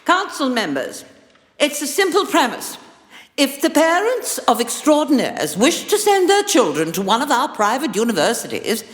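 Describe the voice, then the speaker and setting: An actress playing a haughty, condescending chancellor with a pompous, theatrical delivery. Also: female voice, high voice. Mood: haughty, insistent. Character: haughty, imperious female chancellor, fussy and condescending. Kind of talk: audio drama acting. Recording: echoey hall with long reverb.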